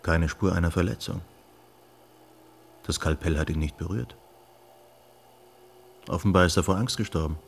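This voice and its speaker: An actor playing a thoughtful male investigator in a radio drama, speaking in a measured, understated, reflective way. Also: low voice, gravelly, slight background noise. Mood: calm, pensive. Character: unhurried, thoughtful male investigator, storytelling sleuth.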